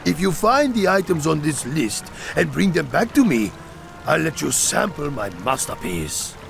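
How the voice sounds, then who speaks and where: A man's voice: fairly high pitch, sing-song, creaky, music in the background, full character voice. A man voicing a jolly, humble wandering brewmaster creature in a video game, genial and ale-loving. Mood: jovial. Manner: unhurried, genial, chuckling storyteller. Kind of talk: video game character acting.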